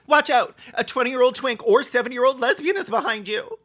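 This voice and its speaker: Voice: high-pitched, lively intonation, brisk, a bit bright. A person giving bawdy, sarcastic comedic commentary as the host of a reaction video, in a campy manner. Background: background music.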